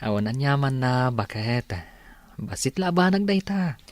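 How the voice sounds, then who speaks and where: Man acting animated, supportive young Ilocano husband. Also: medium pitch, unhurried, dry recording, close to an everyday voice. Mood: encouraging. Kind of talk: radio drama acting in Ilocano.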